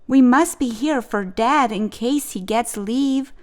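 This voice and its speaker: A woman's voice: a little high, slow. A woman reading the part of a steadfast, protective wartime mother in a storybook, firm and caring. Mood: resolute.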